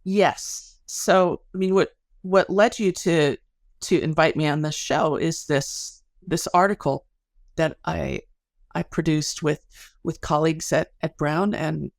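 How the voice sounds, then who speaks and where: A woman's voice: low-pitched, takes it slowly, vocal fry, no room sound, clean studio sound. A middle-aged woman speaking as an academic guest in a podcast interview, explaining things articulately through stories and analogies.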